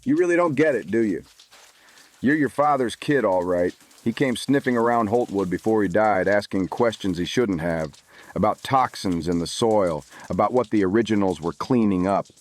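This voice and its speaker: A gruff, wary middle-aged man in hiding played by an actor in a noir audio drama. His fairly low voice swings widely in pitch, agitated and guarded with fear. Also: lively intonation, moderate pace, natural voice. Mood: defensive, warning.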